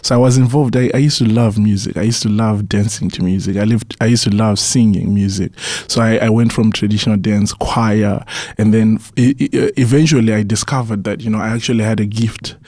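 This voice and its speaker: Low-voiced young man from Zimbabwe. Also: steady tone, brisk, darkish tone, no echo, clean studio sound. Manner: earnest, repeats words and restarts often. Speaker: guest musician. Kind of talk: radio interview answers. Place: radio studio.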